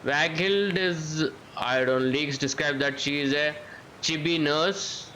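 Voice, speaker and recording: Slow, halting male game streamer. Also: fairly high pitch, sharp tone, slight background noise, poor audio. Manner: halting, searching for words. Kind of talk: casual live gameplay commentary.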